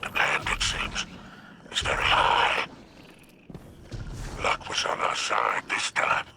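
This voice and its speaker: A man voicing a shady, greedy, sardonic alien crime boss in a video game, with a gravelly, wry drawl. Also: takes it slowly, noisy background, close to an everyday voice. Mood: scheming, intrigued. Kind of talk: theatrical video game voice acting.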